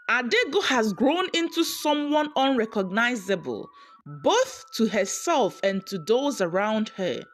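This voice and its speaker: A woman narrating a scripted folktale as a storyteller. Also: on the high side.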